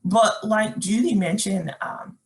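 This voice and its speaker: A woman's voice: mid-range voice, takes it slowly. A middle-aged woman presenting a webinar workshop, talking conversationally with fillers and restarts while reading chat comments aloud.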